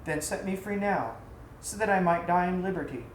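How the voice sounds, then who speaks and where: A woman's voice: fairly low pitch, expressive, moderate pace, natural voice. Storybook role: loyal freed slave woman, earnest, formal, persuasive, done by a female reader; echoey room. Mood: firm, composed. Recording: echoey room, faint background noise.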